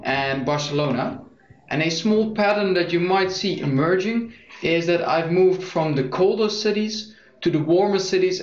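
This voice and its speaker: A young man with a Dutch accent, presenting a software webinar in an explanatory way with frequent fillers. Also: on the high side. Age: young adult. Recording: echoey muffled lo-fi audio.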